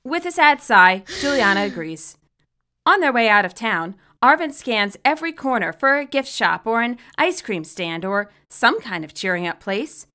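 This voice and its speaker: A woman narrates fan fiction from a script in a high, bright voice. Her delivery is expressive, with lively swings in pitch. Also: normal speed. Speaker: author reading own fan fiction.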